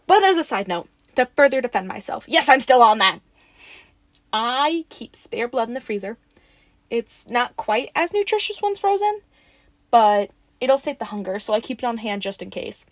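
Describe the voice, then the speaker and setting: Woman acting as a friendly excitable young woman, rambly n teasing, casual roleplay chat, super dry recording. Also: on the high side, moderate pace, no room sound. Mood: friendly, chatty. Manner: rambling, playfully defensive, casual. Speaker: audio roleplay voice actor. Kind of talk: ASMR audio roleplay acting, conversational.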